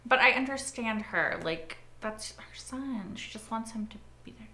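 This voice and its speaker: A woman talks through a show in a casual, reflective way, with a bright, forward tone. She takes her time, speaking slowly. Her desk mic picks up a noticeably echoey room. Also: fairly high pitch, expressive. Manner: chatty, reflective asides. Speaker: reaction video host. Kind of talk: casual reaction commentary.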